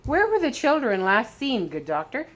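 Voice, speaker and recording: A woman's voice: fairly high pitch, wildly swooping pitch, moderate pace, a bit bright, voice pushed up, exaggerated voice. A woman voicing a greedy, sly, burly male hireling in a storybook, oily and mercenary. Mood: alert. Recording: clear background noise.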